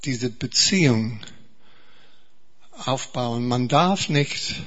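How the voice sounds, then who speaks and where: An Englishman preaching a sermon in German, a bright voice with a breathy edge. He talks slowly and earnestly, repeating words and restarting phrases. The recording sounds a little dull. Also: medium pitch, animated. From England.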